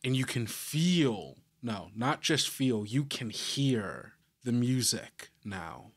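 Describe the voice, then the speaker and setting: Male game master, really slow dramatic narration, medium pitch, measured n suspenseful. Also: expressive. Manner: measured, suspenseful, short deliberate phrases.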